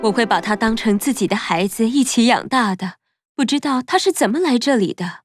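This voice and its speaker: A woman's voice: on the high side, lively intonation, fairly quick, soft background music. An actress playing a protective, loving mother wolf, tender but resolute, in a children's cartoon story. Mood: caring.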